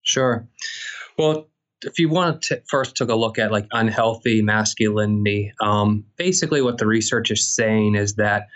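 A man, a psychology professor, explaining research findings in a measured podcast interview with frequent pauses. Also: lowish voice, highs cut off.

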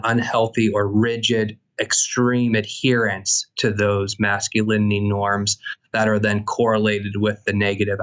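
A man, a psychology professor, explaining research findings in a measured podcast interview with frequent pauses. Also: fairly low pitch, a bit bright, muffled recording.

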